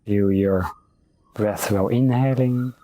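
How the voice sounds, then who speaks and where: A man speaking as a meditation teacher, giving calm, unhurried, gentle guided meditation instructions. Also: lowish voice.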